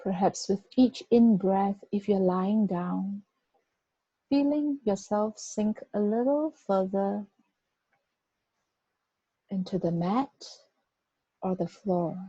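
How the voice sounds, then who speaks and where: A woman guiding a body scan meditation, giving gentle, unhurried instructions with long pauses. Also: mid-range voice, slightly rough, no room sound. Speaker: meditation guide. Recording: dull, muffled lo-fi recording.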